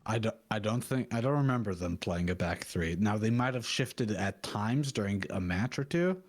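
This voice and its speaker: Male co-host, lower voice, slight lisp, laid-back and self-deprecating. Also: lively intonation, normal speed. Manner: easygoing, laughs often, self-deprecating. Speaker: co-host of a football watchalong. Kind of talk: casual chat reacting to a live match.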